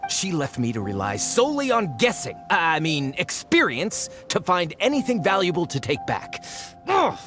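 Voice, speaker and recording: A greedy, boastful treasure-hunting scholar. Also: male voice, on the high side, huge pitch swings, moderate pace, a bit bright. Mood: scornful. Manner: scornful, bragging, sly chuckling. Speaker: game character. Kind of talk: video game cutscene acting. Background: game soundtrack music.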